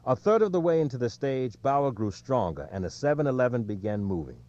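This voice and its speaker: Expressive male audiobook narrator, slightly dull sound. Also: mid-range voice, brisk.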